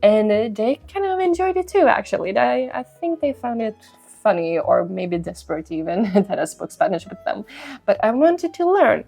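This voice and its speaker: A woman's voice: mid-range voice, sing-song, normal speed, vocal fry, warm tone, faint music behind. A young woman whose first language is Swedish, giving earnest, encouraging advice to the camera as a language-learning host and repeating her key points. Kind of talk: motivational talk to camera.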